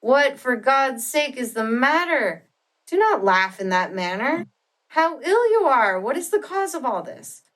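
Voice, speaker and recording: Audiobook role: caring, earnest young gentleman friend, gentle and devoted, read by a woman. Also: medium pitch, measured pace, lightly acted. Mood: alarmed concern.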